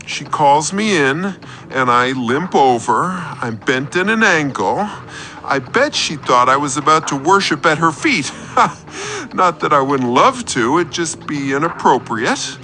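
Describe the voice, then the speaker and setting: A man's voice: on the high side, big pitch swings, a little slow, sharp tone, slight background noise, full character voice. A man playing an awkward, oversharing injured caller on a comedy radio show, rambling and embarrassed. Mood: sheepish, rambling.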